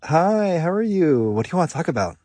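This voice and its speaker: A man hosts a call-in show in a playful, casual way. His voice swings up and down with plenty of life, moves quickly and has a husky edge. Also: fairly high pitch, big pitch swings, darkish tone, no room sound.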